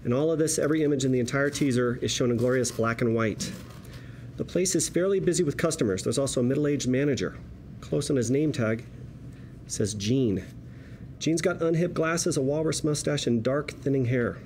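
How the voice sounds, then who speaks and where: A man's voice: mid-range voice, normal speed, a little mellow. A man reading screenplay directions aloud as narration at a table read. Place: room with a group at a table read. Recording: noisy room ambience.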